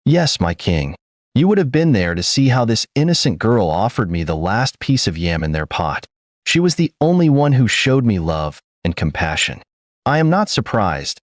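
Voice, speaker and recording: A man's voice: on the low side, big pitch swings, normal speed, no room sound, studio quality. A man voicing an earnest young prince in a read folk tale, speaking admiringly of a girl.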